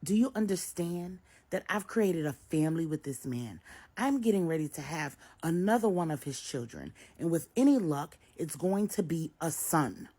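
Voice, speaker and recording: A woman's voice: low-pitched, lively intonation, normal speed, mellow, voice pushed up. A woman voicing a defiant, scheming young mistress and mother in a story, stubborn and insistent.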